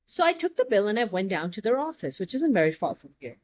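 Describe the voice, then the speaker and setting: A young adult American woman telling stories in a casual chat, lively and blunt, joking and swearing freely. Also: mid-range voice, fast talker. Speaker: guest.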